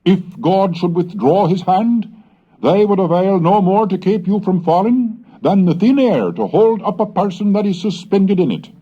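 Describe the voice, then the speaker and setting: A man's voice: high voice, lively intonation, moderate pace, mellow, voice pushed up. A man reading aloud as a fiery colonial preacher, solemn and emphatic, on a dull old cassette recording. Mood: solemn, warning. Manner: solemn, rising, emphatic delivery.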